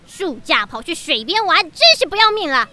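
Casting: exasperated female schoolteacher woman actor, expressive scolding delivery with sharp edge, Taiwanese Mandarin, children's audio drama. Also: very high voice, normal speed, full character voice. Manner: scolding, bossy. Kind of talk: children's radio drama acting.

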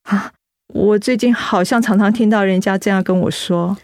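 A woman's voice: medium pitch, moderate pace, no echo, clean studio sound, lightly acted. Actress as hesitant young woman hiding troubles, Taiwanese Mandarin. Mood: apologetic, subdued. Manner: hesitant, apologetic, softly evasive. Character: young ailing office woman who hides her troubles. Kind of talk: radio drama acting.

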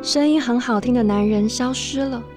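A candid, flighty young-at-heart woman played by an actress tells her story in a high voice. She confides expressively in radio drama style, with music clearly playing underneath. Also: normal speed, lightly acted. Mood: reflective, wistful. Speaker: narrator and character in a radio drama. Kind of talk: radio drama narration and dialogue.

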